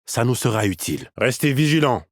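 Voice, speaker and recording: A man's voice: mid-range voice, sing-song, fairly quick, no room sound, close to an everyday voice. An actor playing a cocky male combat agent who teases his teammates, dubbed for a game in a dry studio. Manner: cocky, teasing, trash-talking. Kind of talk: French game dub voice lines.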